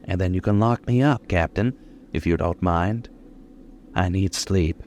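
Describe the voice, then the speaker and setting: Man acting a low, brooding killer, radio suspense. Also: darkish tone, a little hiss or hum. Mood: weary, resigned. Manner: slow, colloquial tough-guy talk with sudden swings. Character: brooding, lonely, menacing confessed killer in a crime drama. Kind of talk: 1940s-style suspense radio drama acting.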